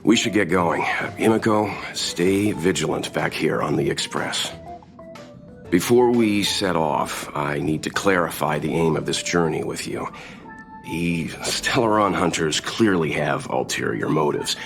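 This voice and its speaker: A man playing a calm, principled middle-aged mentor in a video game, speaking in a measured, serious, authoritative way. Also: a bass voice, creaky, faint music behind, exaggerated voice. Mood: resolute. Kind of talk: dramatic game voice acting.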